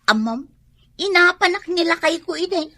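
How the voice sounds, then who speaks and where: A woman's voice: very high-pitched, expressive, normal speed, pushed and bright, no echo, clean studio sound, heavily acted. A woman with an Ilocano accent acting an assertive, fed-up working wife in a radio drama. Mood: chatty.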